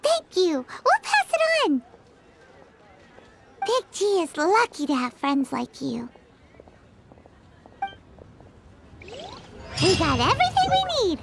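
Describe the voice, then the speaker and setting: A woman voicing a small, excitable, childlike fairy companion in a video game, perky and chatty with a squeaky voice. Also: a little slow, gravelly, long pauses, a little hiss or hum, heavily acted. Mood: cheerful. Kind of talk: video game character dialogue.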